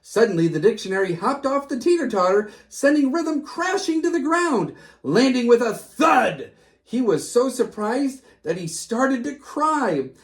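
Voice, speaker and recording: A woman's voice: fairly high pitch, sing-song, unhurried. A woman narrating a read-aloud storybook for young children.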